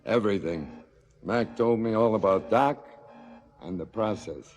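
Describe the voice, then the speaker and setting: An actor playing a cool, probing, sardonic cop who insinuates and interrogates drily in a stylized radio drama. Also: male voice, fairly low pitch, unhurried, darkish tone, lightly acted. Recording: faintly noisy 1970s radio recording.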